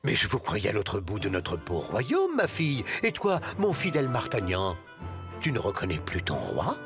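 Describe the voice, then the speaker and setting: An actor playing a theatrical king in an animated cartoon, with grand, formal courtly delivery. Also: lowish voice, moderate pace, over music. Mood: grand, regretful. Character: theatrical, indignant but kindly king of a realm. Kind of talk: French animated cartoon dub.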